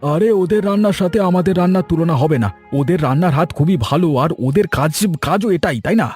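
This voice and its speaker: A man voicing an encouraging young villager in a cartoon, husky, with music behind him. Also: sits high, quick pace, a little mellow. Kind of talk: cartoon voice acting.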